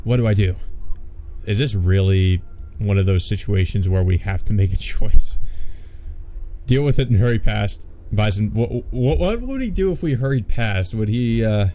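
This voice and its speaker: A man's voice: lowish voice, lively intonation, moderate pace, dark tone. A man hosting a let's play, reading visual novel text aloud and adding informal, joking commentary.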